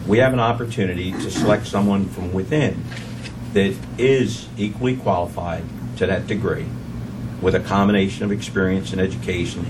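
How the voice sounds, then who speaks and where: Halting low-voiced council member, distant. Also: male voice. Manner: halting, many fillers, building emphasis. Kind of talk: formal public remarks at a meeting. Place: council chamber. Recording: distant mic, noisy room hum.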